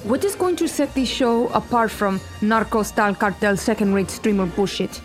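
An actress playing a volatile, theatrical TV producer in an audio drama, with an emphatic, profane, menacing way of talking. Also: female voice, medium pitch, moderate pace, music in the background, natural voice. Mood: excited, demanding. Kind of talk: audio fiction acting.

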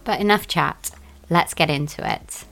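A woman's voice: low voice, unhurried, gravelly, fairly bright tone, faint music behind, low-quality recording. A young woman hosting a wellness podcast, giving a bubbly intro and chatting easily with her guest. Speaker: podcast host. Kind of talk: podcast intro and casual interview.

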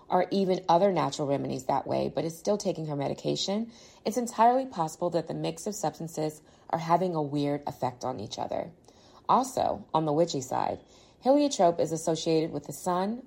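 A woman's voice: low voice, vocal fry, a little mellow. A woman doing a dramatic reading of a listener's email as a guest reader.

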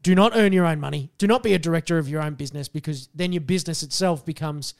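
An Australian man co-hosting a podcast in casual chat, hoarse after losing his voice, swearing and telling stories animatedly. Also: fairly high pitch, fast talker. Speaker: podcast co-host.

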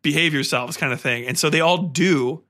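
A man podcast host giving a casual, rambling film review, enthusiastic and profane, often halting and repeating himself. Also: a little high. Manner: animated, enthusiastic; profane, slangy.

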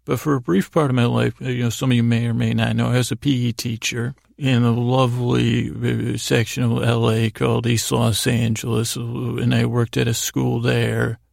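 A middle-aged American man delivers a meandering bedtime monologue. His voice is full of gravelly fry and stays in a narrow, even range. He speaks haltingly, digressing and leaving thoughts unfinished, in a close, dry recording. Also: mid-range voice, dry recording. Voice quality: gravelly, creaky voice. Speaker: podcast host.

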